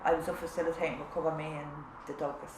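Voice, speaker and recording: Low-voiced woman, chatty. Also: level tone, fast. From Ireland. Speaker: peer support worker. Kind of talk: recorded testimony. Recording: echoey room with clear background noise.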